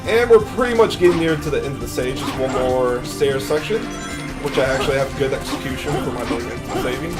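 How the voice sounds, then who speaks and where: A man with a deep voice giving relaxed live commentary on his own speedrun, chatty and explaining techniques as he plays, often trailing off and restarting sentences. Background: game music.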